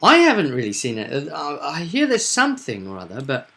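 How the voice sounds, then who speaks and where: A man's voice: a little high, wildly swooping pitch, brisk, bright, forceful tone. A man hosting as game master, chatting casually about a tabletop roleplaying game and often repeating himself with tag questions.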